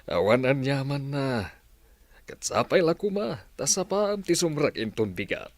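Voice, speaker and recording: A man playing a kindly, fatherly older boss in an audio drama, speaking in a measured way. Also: mid-range voice, sing-song, no echo. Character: kindly older male boss welcoming a new employee.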